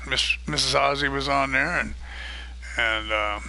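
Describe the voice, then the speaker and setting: A man hosting a live stream of a multiplayer farming game, chatting casually and greeting viewers by name, his voice getting a little hoarse. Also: medium pitch, fairly even intonation, unhurried, pushed and bright, slight background noise, rough recording. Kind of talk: casual live-stream chat while gaming.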